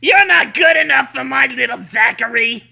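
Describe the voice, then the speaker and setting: A man voicing a sadistic video game demon, mocking and menacing, with theatrical taunts in a creaky, strident voice. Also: extremely high pitch, moderate pace, bright, forceful tone, heavily acted. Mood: gloating fury. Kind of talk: hammy creepypasta villain reading.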